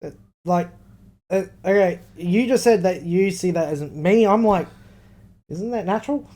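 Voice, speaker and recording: Higher-pitched Australian man, blunt and sweary. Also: a little slow, dark tone. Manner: blunt, emphatic, swears freely. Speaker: podcast co-host. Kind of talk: casual banter between mates.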